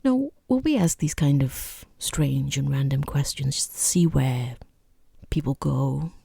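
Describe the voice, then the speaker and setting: Woman acting breathy, half-whispering rude boss. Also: very deep voice, expressive, a little slow, warm tone, no room sound, heavily acted. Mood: dryly probing. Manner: dry, sarcastic, hesitant politeness. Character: rude, condescending female boss interviewing a hapless job applicant. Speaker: job interviewer. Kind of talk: soft-spoken ASMR roleplay.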